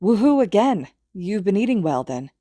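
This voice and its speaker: A woman voicing a cheerful, earnest teenage boy in an audiobook, with an eager and expressive delivery. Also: medium pitch, normal speed, dry recording, clean studio sound, voice pushed up. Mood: eager, lecturing.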